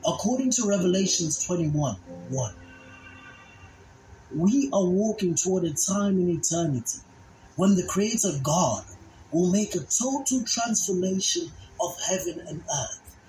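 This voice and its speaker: A preacher reading scripture and teaching in a solemn, emphatic way, with deliberate phrasing. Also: mid-range voice, animated, warm tone. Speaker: Bible teacher and narrator. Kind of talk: dramatized scripture reading and sermon. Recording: echoey voice over background music.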